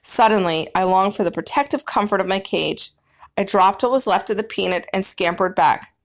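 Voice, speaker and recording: A woman's voice: medium pitch, normal speed. A woman reading a children's book aloud as first-person narrator, with dramatic, emphatic storybook phrasing.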